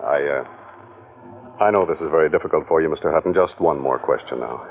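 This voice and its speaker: A man playing a police lieutenant who speaks in a measured way with thoughtful pauses, in 1940s radio acting style, on a tinny old radio recording. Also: a bass voice, slight background noise. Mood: gentle. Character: calm, probing male police lieutenant.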